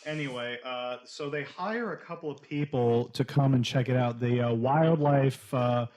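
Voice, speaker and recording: A man's voice: medium pitch, moderate pace, darkish tone. A man co-hosting a casual movie podcast, wry and laid-back as he walks through a plot. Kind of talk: casual movie-review banter.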